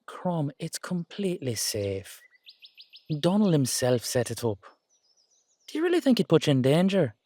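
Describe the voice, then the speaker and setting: A man's voice: fairly high pitch, wildly swooping pitch, moderate pace, dark tone. A man playing an exasperated but affectionate Irish dullahan rider, chatting coaxingly and teasingly to his horse in a roleplay audio drama. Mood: coaxing, reasoning. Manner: conversational, coaxing, teasing, slangy.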